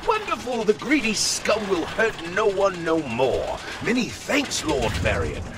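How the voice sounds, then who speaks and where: A man playing a pompous, theatrical lord in a medieval fantasy game, with grandiose, drawn-out, florid delivery. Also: on the high side. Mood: pleased, scheming. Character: pompous, theatrical powerful lord and tournament host.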